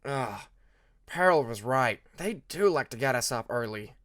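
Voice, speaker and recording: A man's voice: medium pitch, animated, a little slow, fairly bright tone, dry recording, studio quality. A man voicing a sleepy, complaining young male dragon student in an audiobook story. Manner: groggy, complaining.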